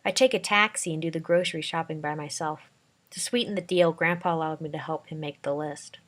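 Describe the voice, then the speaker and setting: A woman's voice: low-pitched, fairly even intonation, brisk. A woman reading a dramatic first-person story aloud as an amateur narrator.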